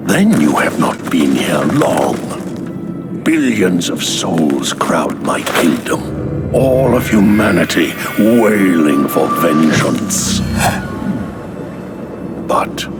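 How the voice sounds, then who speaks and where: Ancient undead king, extremely deep voice, slow haughty commands, menacing, dramatic game acting, loud music behind. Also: big pitch swings, heavily acted. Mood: contemptuous.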